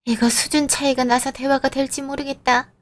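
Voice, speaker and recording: A woman's voice: sits high, little pitch movement, moderate pace, voice pushed up, lightly acted. A woman voicing a snobbish, condescending mother-in-law in her sixties who lectures in an audio drama. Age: 60s.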